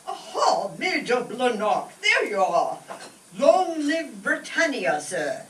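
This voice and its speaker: Woman as eager military servant, high swooping delivery, unhurried, radio comedy, echoey noisy stage sound. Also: big pitch swings, fairly bright tone, exaggerated voice. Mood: dutiful. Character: Abdul, excitable, obedient male servant to an army major. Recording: echoey, distant stage recording with clear noise.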